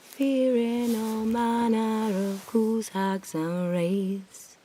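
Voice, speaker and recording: A woman playing an eerie figure who sings a slow, ominous lullaby in a dark fantasy game. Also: fairly high pitch, level tone, slight background noise. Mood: eerie, soothing.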